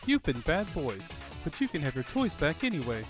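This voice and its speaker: A man's voice: on the high side, big pitch swings, normal speed. A man voicing a confident, prim young animal princess in a cartoon-style comic dub. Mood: wry. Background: background music.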